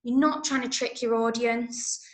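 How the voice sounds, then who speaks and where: An English woman leads a drama writing workshop in a fairly high voice. She explains step by step and puts questions to her listeners to check they follow. The room rings clearly around her words. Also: steady tone, unhurried. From Manchester, England. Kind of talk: teaching a script-writing workshop. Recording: roomy, echoing room sound.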